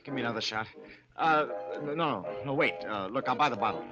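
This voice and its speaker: A man's voice: medium pitch, animated, brisk, close to an everyday voice. An actor playing a nervous, guilty murderer telling his story in a radio drama, terse and hardboiled, with an American accent. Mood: irritable. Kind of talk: 1940s radio acting, dramatic narration. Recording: muffled old radio, loud music behind.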